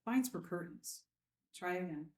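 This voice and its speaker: A woman's voice: lowish voice, lively intonation, measured pace, a little mellow. Sardonic female newsroom boss played by a woman, dry and clipped, commanding, distant roomy pickup. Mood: challenging. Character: curt, sardonic, commanding female newspaper editor.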